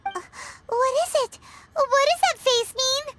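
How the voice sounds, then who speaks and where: Squeaky, very high childlike sprite girl. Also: slow pace. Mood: puzzled. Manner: exclamatory, questioning, reacts bluntly. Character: small childlike sprite girl companion, excitable and nosy. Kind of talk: scripted game voice acting.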